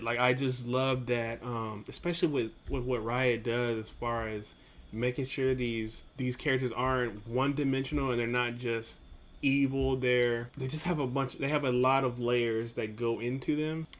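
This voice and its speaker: A man with a medium-pitched voice gives casual reaction commentary as the host. He talks informally, musing and analysing as he goes. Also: fairly bright tone.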